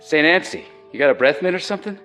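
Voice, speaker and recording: A man's voice: on the high side, fast, sharp tone, soft background music. A man playing a blunt young doctor and husband in a radio drama. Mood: sheepish, hurried.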